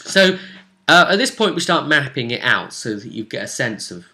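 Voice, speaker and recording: A man with a bright, forceful voice and mid-range pitch talks through a role-playing session as its game master. He explains things casually and conversationally, often checking in with a tag question. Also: big pitch swings, normal speed.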